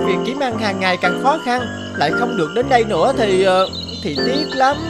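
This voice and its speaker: A woman's voice: on the high side, expressive, a little fast, close to an everyday voice. A woman voicing grumbling, sly forest animals in a children's cartoon, over music. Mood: wheedling, bossy.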